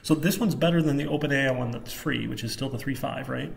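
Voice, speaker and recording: Casual Midwestern man with a gravelly voice. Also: medium pitch, fast. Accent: Michigan Midwestern American. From Michigan, US. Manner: casual, rambling, thinking aloud. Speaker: programming lecturer. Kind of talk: informal live coding lecture. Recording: clicking keyboard, faint room noise. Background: keyboard typing.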